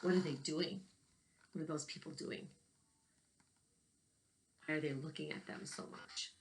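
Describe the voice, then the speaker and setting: A woman reading a picture book aloud to children, pausing to explain and ask them questions. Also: low-pitched, fairly even intonation, gravelly, slight background noise. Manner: questioning, explains to young listeners. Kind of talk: read-aloud storytelling with asides and questions to children.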